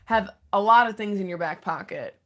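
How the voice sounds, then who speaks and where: A young woman with a low, deep voice, a singer-songwriter talking casually and candidly in an interview, thinking aloud in fragments. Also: normal speed, a bit bright. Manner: candid and informal, thinking aloud in fragments, often quoting own thoughts.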